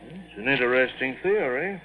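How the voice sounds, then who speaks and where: A man's voice: medium pitch, expressive, normal speed, narrow, tinny audio, lightly acted. A thin, faintly noisy old radio recording features a male actor as an army doctor. He is mild and musing, with a middle-range voice and a hesitant delivery typical of puzzled characters in 1950s radio drama.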